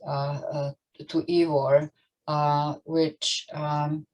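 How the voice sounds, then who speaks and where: A woman moderating an online panel discussion, with hesitant, halting phrasing as she frames questions. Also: sits low, little pitch movement, a little mellow, low-quality recording.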